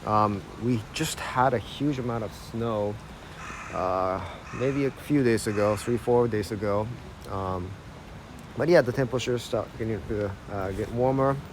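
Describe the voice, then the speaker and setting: A man's voice: lowish voice, unhurried, a little hiss or hum. A man in his thirties with an American accent, casually guiding a live-streamed walking tour outdoors, with lots of fillers. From Ohio, United States. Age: 30s. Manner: casual, chatty, many fillers. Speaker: tour guide. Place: outdoors on an old city street. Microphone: phone camera held while walking.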